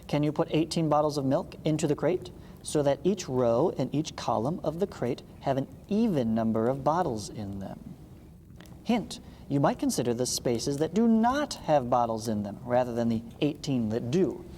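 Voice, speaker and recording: A man's voice: mid-range voice, very animated, moderate pace, dark tone. A man teaching math, reading a textbook aloud and breaking off for informal explanatory asides. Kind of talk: read-along textbook lesson with casual asides. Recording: reverberant room with background hiss.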